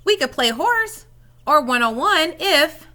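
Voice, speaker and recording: A woman voicing an eager fourth-grade boy in a children's storybook, with earnest, expressive delivery. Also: high voice, slow, pitched above the performer's own voice, heavily acted.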